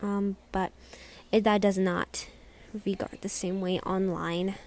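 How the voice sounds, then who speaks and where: A young woman with a squeaky voice rambling in a casual vlog, hesitant and self-conscious, full of restarts and trailing words. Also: flat delivery, slow, a little hiss or hum. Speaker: vlogger.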